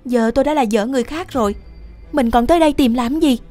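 A woman voicing a cold, greedy young wife in an animated fairy tale, scornful and dismissive. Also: sits high, quick pace, soft background music, pitched above the performer's own voice, lightly acted.

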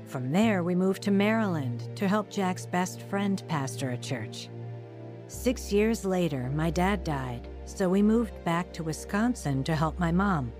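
An earnest born-again woman tells her own story in a medium-pitched voice with music behind her. She is sincere and measured, in the style of an audio drama testimony. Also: expressive. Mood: reflective. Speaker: lead actress and first-person narrator. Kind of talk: dramatized radio testimony narration.

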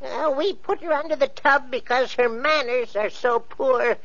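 A woman's voice: high voice, measured pace, full character voice. Stammering spiteful mother. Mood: flustered. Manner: stammering, flustered and sharp-tongued. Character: harsh scheming mother of three daughters. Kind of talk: 1940s radio acting. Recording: muffled old radio broadcast.

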